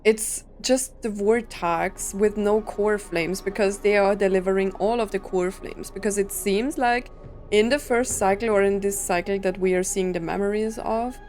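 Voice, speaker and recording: A woman's voice: medium pitch, darkish tone. A woman casually commentating on a story game playthrough, puzzled and thinking aloud as she goes. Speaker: game streamer. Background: game music.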